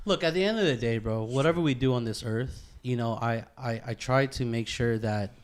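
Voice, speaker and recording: A man's voice: medium pitch, a little fast, clear, resonant tone. A man chatting casually on a podcast, earnest and slangy, often repeating himself and swearing. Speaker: podcast co-host.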